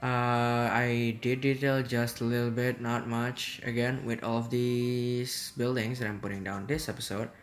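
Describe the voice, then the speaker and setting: Man, relaxed game commentary, meandering and unhurried, medium pitch, soft music underneath. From Indonesia. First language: Indonesian. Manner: rambling, easygoing, hesitant. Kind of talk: casual gameplay commentary. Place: at home.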